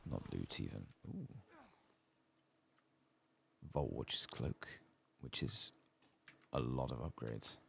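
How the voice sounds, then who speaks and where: Male game streamer, soft-spoken and casual, heavy gravel in the voice, lively pitch movement. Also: slow, pauses often, slight background noise. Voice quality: gravelly, husky. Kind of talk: soft-spoken ASMR gaming commentary, reading quest text aloud.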